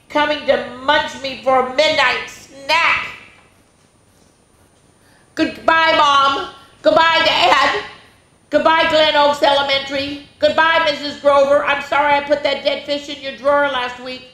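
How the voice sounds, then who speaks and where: A woman's voice: sits high, fairly even intonation, a little slow, fairly bright tone, echoey room, voice pushed up, low-quality recording. A woman voicing a frightened, dramatic young schoolboy from a storybook, with exclaiming, breathless delivery. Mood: panicked farewell.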